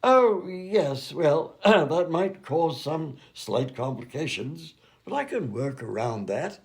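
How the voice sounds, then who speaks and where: High-voiced, creaky eccentric doctor. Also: male voice, lively intonation, slow, heavily acted. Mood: breezy, fussy. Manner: fussy, polite, rambling. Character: eccentric, absent-minded male mad doctor and inventor, breezily unconcerned. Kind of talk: comedy radio-play acting.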